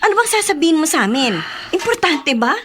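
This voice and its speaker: Very high-voiced younger sister. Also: female voice, big pitch swings, fast talker, a bit bright, a little hiss or hum. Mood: curious. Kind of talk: Filipino radio drama acting.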